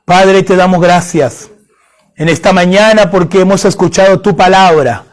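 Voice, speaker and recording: A man's voice: high-pitched, fairly even intonation, moderate pace. A man from Haiti preaching a sermon, fervent and building to emphatic prayer, repeating phrases for emphasis.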